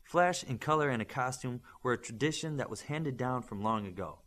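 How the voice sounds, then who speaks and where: A male narrator plays a stern mentor of young superheroes in an audiobook. He lectures firmly and with principle, leaning on rhetorical questions, his mid-pitched voice rising and falling emphatically. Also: lively intonation, fairly quick, fairly bright tone, natural voice. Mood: instructive. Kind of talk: audiobook character dialogue.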